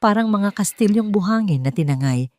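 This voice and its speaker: A woman with a Filipino accent reads a scripted dramatic story in a measured, emphatic way. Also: mid-range voice, animated, darkish tone, no room sound.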